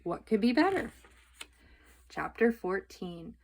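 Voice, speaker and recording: A woman reads a children's book aloud for storytime. Her voice sits at a medium pitch as she narrates. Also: big pitch swings, slow, a little mellow, poor audio. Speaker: storytime reader.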